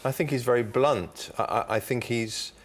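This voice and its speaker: A man on a discussion panel giving opinions on a book in articulate, deliberate, emphatic phrases. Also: medium pitch.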